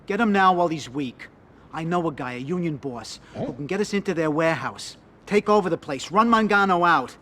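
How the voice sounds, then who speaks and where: A man's voice: fairly high pitch, lively intonation, a little fast, fairly bright tone. A man playing a hot-headed, scheming mobster in a crime game, agitated and insistent. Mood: low, conspiratorial. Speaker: video game character actor. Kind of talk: cinematic crime drama acting.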